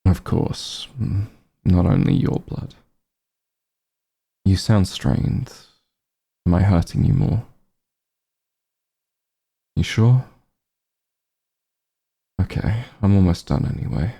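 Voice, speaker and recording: Man acting as gravelly, low-voiced back-room doctor. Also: mellow, exaggerated voice. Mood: focused, gentle. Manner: soothing, unhurried bedside reassurance with dry bluntness. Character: calm male back-room doctor, caring, gently scolding old friend. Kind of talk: ASMR roleplay, softly spoken.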